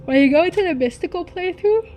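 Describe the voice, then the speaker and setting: A woman's voice: very high-pitched, lively intonation, fast, darkish tone, a little hiss or hum. A woman reading game narration expressively and adding casual commentary as a streamer.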